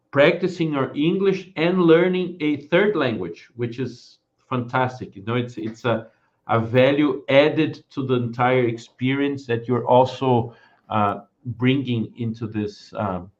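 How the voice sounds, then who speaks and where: A man's voice: medium pitch, unhurried, dull audio. A man interviewing a guest, adding enthusiastic comments and often saying "you know".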